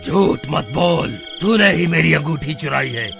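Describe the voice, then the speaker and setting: Creaky slow skeleton ghost for a horror cartoon, menacing and demanding, music under it. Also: male voice, fairly high pitch, expressive, a bit bright, exaggerated voice. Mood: accusing, demanding. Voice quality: gravelly. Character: menacing vengeful male skeleton ghost from a well. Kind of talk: animated horror story acting. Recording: music behind the voice.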